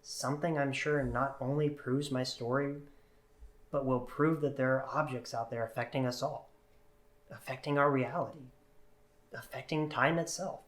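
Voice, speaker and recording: A man's voice: medium pitch, fairly even intonation, moderate pace, lightly acted. Man acting as an earnest, hesitant interview guest. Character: earnest, hesitant male guest with an eerie secret. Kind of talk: scripted podcast drama, interview format.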